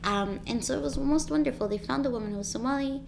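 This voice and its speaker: A woman guest-hosting a casual chat, speaking approvingly with warm interjections. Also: high voice, fast, vocal fry, a loud crowd. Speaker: guest host.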